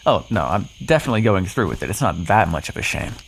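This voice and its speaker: Man acting as a cocky, flirtatious, lively-voiced vampire. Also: low voice, fast talker, a little hiss or hum. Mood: smug, teasing. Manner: teasing, sarcastic, with pet names and swearing. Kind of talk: ASMR roleplay acting addressed to the listener.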